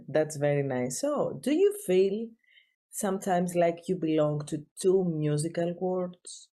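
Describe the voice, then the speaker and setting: A woman hosts an interview over a video call. Her voice is low and mellow. She asks her questions slowly, in simple, warm, non-native phrasing. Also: expressive. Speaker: podcast host.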